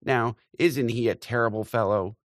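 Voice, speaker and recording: A man's voice: medium pitch, normal speed, dry recording, clean studio sound, pitched above the performer's own voice, lightly acted. A man voicing a fussy, anxious older aunt who bustles about a party. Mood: confiding. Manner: anxious, fussing.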